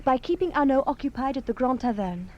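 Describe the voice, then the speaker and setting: A woman's voice: high-pitched, animated, fast talker, darkish tone, muffled recording. An actress playing a determined, practical young woman in a radio drama, speaking earnestly and persuasively. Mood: sombre, decisive.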